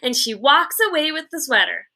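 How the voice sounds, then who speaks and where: Woman as storybook schoolgirl, haughty, nonstop gabber, very high pitch, bright tone, gushing delivery. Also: sing-song, normal speed, full character voice. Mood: gossipy, gushing. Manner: gushing, run-on chatter full of "like". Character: chatty, haughty fifth-grade girl who gabs nonstop.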